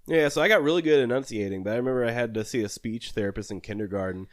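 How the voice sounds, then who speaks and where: A man's voice: medium pitch, very fast. A man co-hosting a casual podcast chat, talking with a lisp and laughing often. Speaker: podcast co-host.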